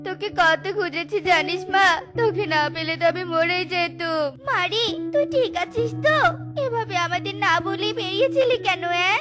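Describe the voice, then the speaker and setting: A woman's voice: extremely high pitch, moderate pace, loud music behind the voice. A woman voicing a worried cartoon mother who pleads anxiously. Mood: relieved. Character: worried mother, wife of the animal father. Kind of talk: cartoon voice acting.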